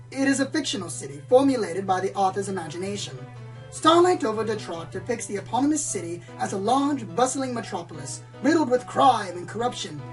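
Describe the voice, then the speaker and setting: A woman's voice: medium pitch, distant mic, close to an everyday voice, rough recording. A woman playing a pompous, dramatic male pony storyteller who loves noir detectives, florid and self-important, in a comedic radio play. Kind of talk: comedic radio play, hardboiled narration parody.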